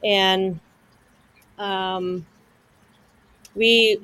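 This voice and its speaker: A woman's voice: medium pitch, fairly even intonation, very slow, bright, forceful tone. A woman hosting a casual live-stream talk show, chatty and friendly as she introduces and questions her guest. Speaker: show host.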